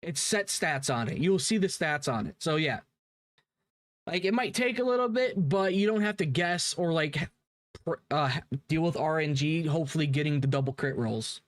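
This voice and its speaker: Higher-voiced guy, casual gaming commentary. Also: lively intonation, moderate pace, dry recording. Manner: informal, repeats himself emphatically. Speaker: streamer reacting to a game video.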